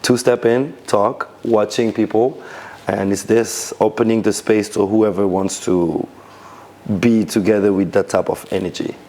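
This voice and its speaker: Man, low voice, gravelly, rambling restarts, casual interview. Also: steady tone, measured pace, dark tone. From Senegal, raised in France. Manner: loose, searching phrasing with many restarts. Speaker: dancer, DJ and event founder.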